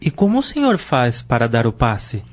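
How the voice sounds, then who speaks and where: A man's voice: mid-range voice, wildly swooping pitch, normal speed. An actor playing a respectful, inquisitive young man in an audio drama, with a Brazilian accent. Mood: curious. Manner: respectful questioning. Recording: dull lo-fi audio.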